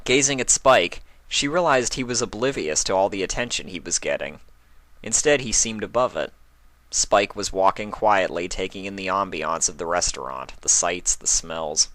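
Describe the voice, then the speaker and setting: A man's voice: low-pitched, animated, moderate pace, a bit bright, no echo. A man narrating a scripted story as a reader.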